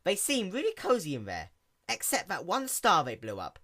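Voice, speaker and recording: Woman as a bright, matter-of-fact sea pony mechanic. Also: lowish voice, wildly swooping pitch, normal speed, voice pushed up, full character voice. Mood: explaining, incredulous. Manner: plain-spoken, explanatory.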